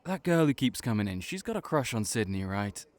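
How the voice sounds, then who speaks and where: A man's voice: medium pitch, sing-song, fairly quick, no room sound, close to an everyday voice. A man playing a teasing young ram hybrid who works in a cafe, with playful, needling banter in an audio roleplay.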